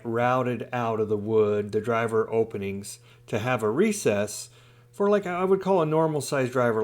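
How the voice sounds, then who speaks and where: Casual man walking through a DIY project. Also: fairly low pitch. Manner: informal, explaining step by step. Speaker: DIY hobbyist video host. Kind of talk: casual hands-on tutorial talk.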